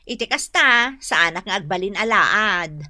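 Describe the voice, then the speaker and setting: A woman's voice: mid-range voice, lively intonation, normal speed, bright, forceful tone, dry recording, studio quality. An actress playing a quarrelsome, defensive young village woman in an Ilocano radio drama. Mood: grumbling.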